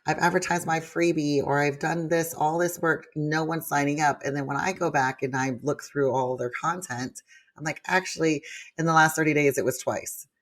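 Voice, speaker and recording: A woman from Hawaii, a business coach, chatting as a guest in an interview, upbeat and quick to laugh. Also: low-pitched, fairly even intonation. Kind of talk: casual interview chat.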